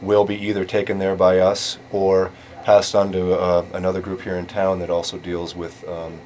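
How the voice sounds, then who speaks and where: A man's voice: deep voice, monotone, moderate pace, a little mellow. A man giving information as a charity volunteer in a radio interview. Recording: faintly noisy radio interview recording.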